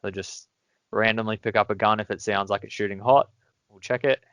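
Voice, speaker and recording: A man's voice: lowish voice, fairly even intonation, fairly quick, fairly bright tone, clear, resonant tone, no echo. Easygoing man, laughs often. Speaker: podcast host. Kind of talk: casual podcast chat.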